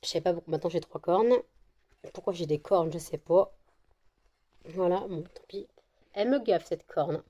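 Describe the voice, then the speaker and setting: Woman with a cold, low warm voice, rambling casual vlog, lots of fillers. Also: normal speed, long pauses. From France. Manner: meandering, many fillers and restarts. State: still has a cold. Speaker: vlogger, candle maker. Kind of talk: casual rambling chat to camera. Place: at home indoors.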